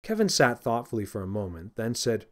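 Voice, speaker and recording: A man reading his own children's story as scripted audiobook narration, with an expressive storytelling manner. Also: fairly low pitch, brisk.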